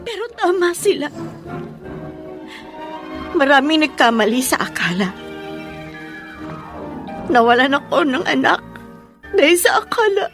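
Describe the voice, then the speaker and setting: An actress playing a heartbroken, resolute young woman in a Filipino radio drama, speaking with deliberate, dramatic emotion. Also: high-pitched, big pitch swings, a bit bright, full character voice. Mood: bitter, pained. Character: heartbroken resolute young woman rejecting her former lover. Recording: music behind the voice.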